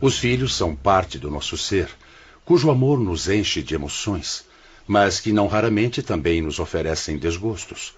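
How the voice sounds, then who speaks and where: Very deep, solemn spirit elder. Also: male voice, animated, normal speed, husky. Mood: kindly, compassionate. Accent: Brazilian. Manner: solemn, formal, didactic, patient. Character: serene, humble older male spirit, director of afterlife hospital. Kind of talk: religious radio drama acting.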